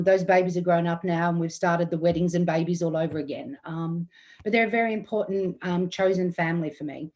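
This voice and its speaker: A woman in her fifties from Australia, telling a personal story in a talk, earnest and close to tears, slightly croaky. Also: fairly low pitch, darkish tone. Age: 50s. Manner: earnest and emotional, with frequent ums. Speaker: guest storyteller.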